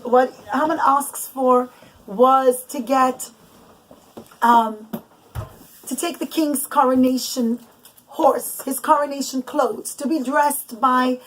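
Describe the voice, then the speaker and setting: A woman telling a bedtime story to children off the cuff, in a halting way with emphatic repetition. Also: high-pitched, fairly even intonation, a little hiss or hum, rough recording. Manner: halting, restarts and loses the thread, emphatic repetition.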